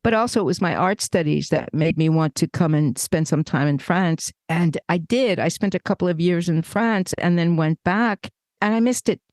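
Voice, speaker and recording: An older woman co-hosting a podcast, telling stories in a lively, conversational way. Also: low-pitched, no echo, clean studio sound. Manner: animated storyteller, acts out remembered dialogue. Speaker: podcast co-host. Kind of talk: casual conversation.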